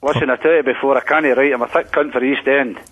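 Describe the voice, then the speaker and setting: A man's voice: mid-range voice, fairly even intonation, quick pace, husky. A man from Glasgow with a Glaswegian accent, arguing bluntly and combatively with lots of swearing over the phone. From Glasgow, Scotland. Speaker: prank call victim. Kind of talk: heated phone argument. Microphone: telephone. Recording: muffled phone line, broadcast on radio.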